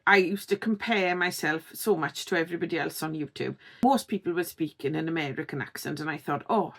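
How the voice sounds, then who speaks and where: A woman's voice: on the low side, fairly bright tone. Encouraging middle-aged Welsh woman. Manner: encouraging, conversational, checks in with "okay?". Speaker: YouTube channel host. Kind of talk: direct-to-camera tips video.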